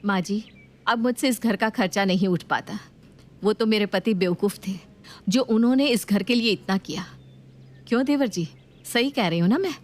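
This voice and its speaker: A woman's voice: medium pitch, normal speed, lightly acted. A woman voicing a proud, defiant widowed mother in a cartoon, speaking firmly and sharply. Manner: firm, sharp.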